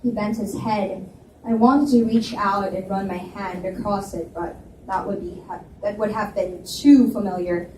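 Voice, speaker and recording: A woman narrating a novel in the first person at a live library reading, lively and expressive. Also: mid-range voice, a little slow. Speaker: reader and narrator. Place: library event space. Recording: roomy, distant mic with faint hiss.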